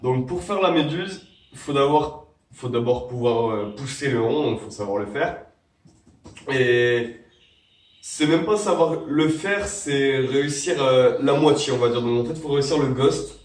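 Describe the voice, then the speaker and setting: A man's voice: mid-range voice, expressive, unhurried. A young man with a cold, rambling through a casual how-to tutorial with many fillers and restarts. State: has a cold. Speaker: vape tricks tutorial host. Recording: echoey room, mic at a distance.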